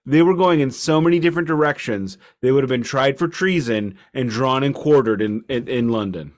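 A man's voice: mid-range voice, brisk. Man, mid-range voice, wry, emphatic, discursive, casual album podcast co-host.

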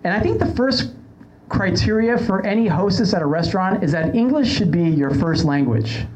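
A woman's voice: fairly low pitch, animated, normal speed, darkish tone. A woman hosting a casual comedy podcast, telling anecdotes in a wry, sarcastic way. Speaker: podcast host. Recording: clearly noisy background.

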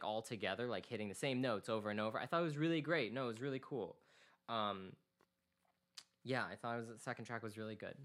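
A man's voice: mid-range voice, fairly bright tone. A man in his twenties co-hosting a music podcast, talking earnestly in a rambling way with many restarts. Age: 20s. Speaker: podcast co-host. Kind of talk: casual chat.

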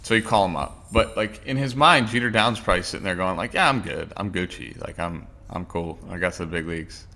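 A man's voice: low-pitched, a little fast, vocal fry, hardly any pauses, bright, forceful tone. A man co-hosting a casual sports podcast, opinionated and rambling with restarts. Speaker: podcast co-host.

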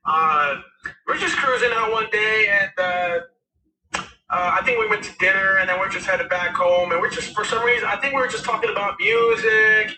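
A raspy-voiced man in his thirties, a musician guest telling his story in a rambling, casual way with many fillers. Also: high-pitched, moderate pace, sharp tone, reverberant, recorded from a distance, low-quality recording. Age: 30s. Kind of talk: casual interview talk.

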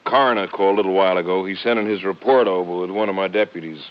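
An actor with an American accent playing a plain-spoken, folksy small-town Texas sheriff in a 1950s radio crime drama. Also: male voice, low voice, fairly even intonation, very fast, natural voice. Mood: concerned. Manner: folksy, colloquial. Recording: muffled old radio recording.

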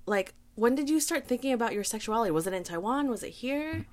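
A woman's voice: a little high, lively intonation, quick pace, a little mellow. A woman from Canada hosting a podcast, chatting casually with a guest and teasing him with frequent laughs. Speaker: podcast host. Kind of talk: casual interview chat.

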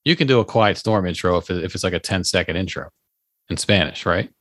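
A man's voice: deep voice, fast talker, a bit bright, no room sound. A man co-hosting a podcast, teasing his partner with dry humor and many questions. His first language is Farsi. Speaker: podcast co-host. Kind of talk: casual chat.

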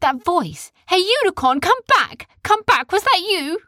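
Excitable storybook girl, high and bouncy, voiced by a woman. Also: measured pace, dry recording, clean studio sound, full character voice. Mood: excited. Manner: high-pitched, animated and indignant.

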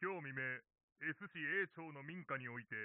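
A man playing a formal television newsreader, delivering a measured, serious bulletin in a radio drama. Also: a little high, no room sound, phone-quality sound, natural voice. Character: formal male TV newsreader reporting sober local news. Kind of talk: scripted news bulletin.

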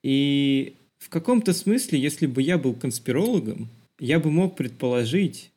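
A man's voice: mid-range voice, lively intonation, moderate pace, dark tone, slightly rough. A man co-hosting a podcast, discussing film in a thoughtful, explanatory conversational way. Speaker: podcast co-host.

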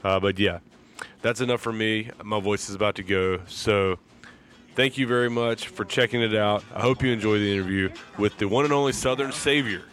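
A man's voice: lowish voice, a little fast, a bit bright. A man hosting a podcast, his voice froggy and congested from a sinus infection, talking in a laid-back, rambling way. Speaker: podcast host. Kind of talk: casual podcast intro and chat.

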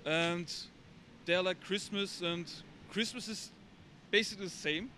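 A young Austrian man with a German accent, answering a street interview in halting English. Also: sits high, bright, forceful tone, a little hiss or hum. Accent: German-speaking Austrian. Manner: halting, searching for English words. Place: outdoors on a city street.

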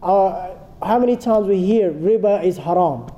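A man's voice: sits high, measured pace, lo-fi sound. A man giving an earnest religious lecture to a congregation, explaining points step by step and dropping in Arabic phrases. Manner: calls himself soft-spoken but firm today. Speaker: Islamic teacher.

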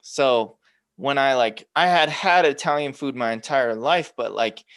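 A man's voice: mid-range voice, a little slow, a bit bright, dry recording. A man from Los Angeles hosting a casual interview, asking rambling, restarting questions with enthusiasm. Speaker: host.

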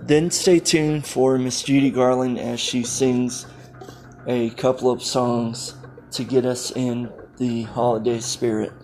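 A man hosting a podcast casually, in halting short phrases. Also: mid-range voice, fairly even intonation, darkish tone, faint music behind, poor audio. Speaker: podcast host.

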